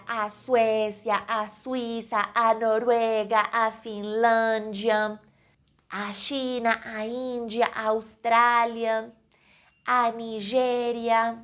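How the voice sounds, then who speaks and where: A woman teaches in an unhurried, deliberate way. Her voice sits on the high side, and she spells out each word clearly for learners. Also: fairly bright tone. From Colombia. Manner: slow, careful, clearly enunciated teaching. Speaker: Portuguese teacher. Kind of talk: online language lesson.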